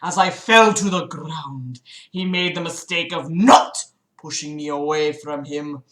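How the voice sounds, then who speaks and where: Sarcastic lady streamer reading a book out loud and roasting it, bright highish voice, roomy echo. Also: unhurried. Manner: animated, sarcastic, exasperated asides, swearing. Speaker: livestream host. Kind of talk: mocking read-aloud of a book with casual commentary to live chat.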